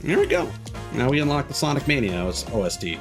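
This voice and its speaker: A man's voice: medium pitch, big pitch swings, fast. A man streaming a video game, giving casual commentary in a rambling, explanatory way that halts mid-thought. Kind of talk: casual live gameplay commentary. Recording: game music behind the voice. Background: video game music.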